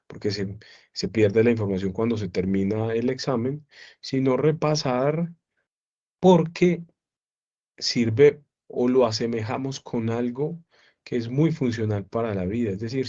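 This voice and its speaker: A man teaching a psychology class online, explaining at an unhurried pace and often checking that his students follow. His voice sits in a middle pitch. The sound is dull and lo-fi, as if picked up by a computer microphone on a video call. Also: warm tone. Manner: explains slowly with repeated questions to students.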